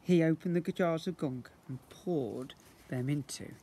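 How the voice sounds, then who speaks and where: A British man in his fifties reads a children's storybook aloud like a teacher, theatrically, with drawn-out emphasis and acted sound words. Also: on the high side, mellow, breathy edge. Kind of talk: expressive children's storybook reading. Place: outdoors beside brick houses. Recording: noisy outdoor amateur video recording.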